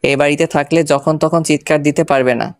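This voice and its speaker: Man acting an even-toned, irritable young husband. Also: on the high side, brisk, dry recording, studio quality, close to an everyday voice. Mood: scolding. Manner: curt, scolding.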